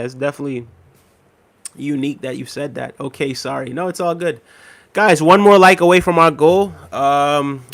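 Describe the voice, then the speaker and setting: A man in his thirties hosting a casual livestream, reading viewer comments aloud in a relaxed, repetitive way with many fillers, a little unwell and tired. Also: fairly high pitch, dry recording. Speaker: livestream host.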